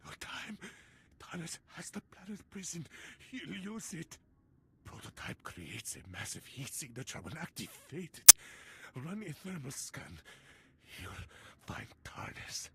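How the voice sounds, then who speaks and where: A dying survivor's breathy whisper. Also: mid-range voice, very animated, unhurried, fairly bright tone. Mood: urgent. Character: dying wounded survivor, gasping out a warning. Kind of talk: video game dialogue.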